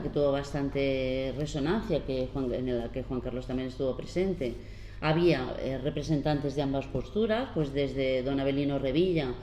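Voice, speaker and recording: A woman in her fifties from La Mancha gives an earnest, unhurried public talk as a panel speaker, pausing often as she explains. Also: very deep voice, dark tone. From La Mancha, Spain. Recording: roomy hall with faint background noise.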